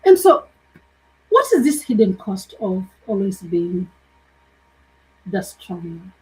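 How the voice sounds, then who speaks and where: A woman's voice: mid-range voice, big pitch swings, slow, darkish tone, slightly rough, rough recording. A woman hosting a conversation, asking thoughtful questions and affirming her guest. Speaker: podcast host. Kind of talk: interview conversation.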